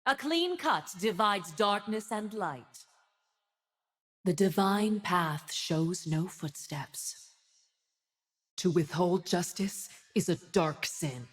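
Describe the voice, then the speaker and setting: A woman's voice: lowish voice, animated, takes it slowly, slightly rough, exaggerated voice. A woman voicing a stern, righteous divine winged judge for a fantasy video game, speaking in solemn, grand declarations with a subtle ethereal effect.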